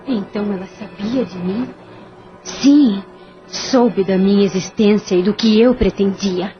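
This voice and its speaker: A domineering male spirit who rules over a pack of malevolent entities, as a man puts him on in a high, strained voice. The sound is dull and muffled, with soft music underneath, like an audio drama. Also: unhurried. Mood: suspicious. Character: commanding male spirit leading dark entities.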